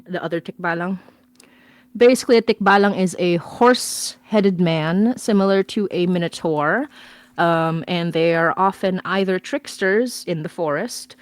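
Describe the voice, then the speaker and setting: A woman's voice: on the low side, no room sound. A woman chatting casually on a livestream as a guest artist, laughing often and trailing off with ums.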